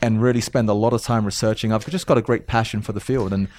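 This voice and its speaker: South London man, low steady voice. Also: fast talker. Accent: South London English, softened by years in America. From South London, England. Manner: earnest, repeats words when starting sentences. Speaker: mental toughness coach, guest. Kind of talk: podcast interview.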